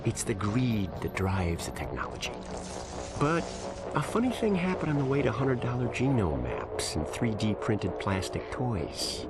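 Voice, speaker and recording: An actor playing a calm, fanatical scientist villain in a video game, musing in a condescending, self-satisfied monologue. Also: male voice, fairly low pitch, a little slow, heavily acted. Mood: smug, proud. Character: smug, calm, fanatical male scientist villain justifying mass death. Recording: loud music under the voice.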